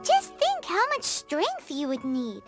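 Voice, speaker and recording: A woman voicing a small, excitable, cheeky fairy companion in a video game, with a squeaky, childlike voice. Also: takes it slowly, full character voice. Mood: excited. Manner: refers to self in third person, exclamatory. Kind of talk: English game voice acting. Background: background music.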